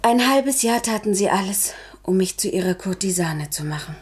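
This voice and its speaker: An actress in a 1970s German radio play playing a sorrowful, dignified courtesan who speaks formally and solemnly, sometimes near a whisper. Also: female voice, medium pitch, lively intonation, normal speed, slightly rough. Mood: sorrowful, resigned. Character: sorrowful, dignified courtesan confessing to her noble lover.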